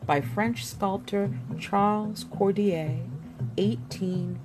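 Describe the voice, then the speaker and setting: A woman reading a history book aloud expressively, with theatrical emphasis and pauses. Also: mid-range voice. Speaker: book reader. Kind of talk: expressive read-aloud of an illustrated history book, with spoken asides. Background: music.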